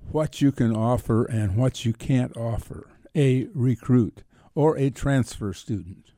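A man with a mellow, mid-pitched voice co-hosts sports radio. He feels out his questions in a halting, conversational way, with a dry studio sound. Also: dry recording. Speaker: sports talk radio co-host.

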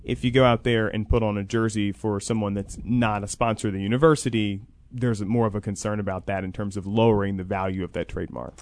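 Low-pitched man explaining on radio. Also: steady tone, quick pace, hardly any pauses, a little mellow. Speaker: interview guest, college athletics program coordinator. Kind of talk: radio interview, explanatory conversation.

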